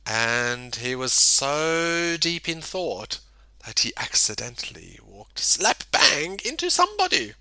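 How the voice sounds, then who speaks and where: A man reading a children's story aloud in an expressive, theatrical way, with emphatic delivery and dramatic pauses. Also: medium pitch, no room sound. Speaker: storybook reader.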